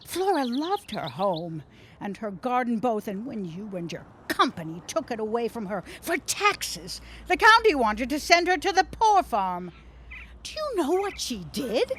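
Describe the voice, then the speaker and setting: An actress playing a scornful, righteous shopkeeper with a biting, sarcastic manner in a period audio drama. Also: female voice, on the high side, big pitch swings, unhurried, a little hiss or hum. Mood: bitter indignation. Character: scornful, righteous married shopkeeper woman, bitterly outspoken.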